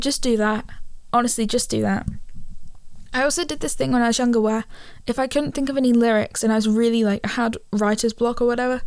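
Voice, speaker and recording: A woman's voice: fairly high pitch, a little mellow, no room sound. Rambling teen girl with a cold. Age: teens. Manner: rambling, self-correcting, chatty. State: slightly gravelly from a cold. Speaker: podcast host. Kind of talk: casual solo podcast chat. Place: living room at home. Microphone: handheld studio mic.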